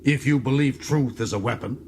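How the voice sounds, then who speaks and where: A man's voice: mid-range voice, fairly quick, noisy background, pitched above the performer's own voice, natural voice. Man playing a scornful, fierce young alien prisoner. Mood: repressed fury. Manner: scornful, dramatic, intense. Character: bitter, proud young male alien prisoner, fierce and contemptuous.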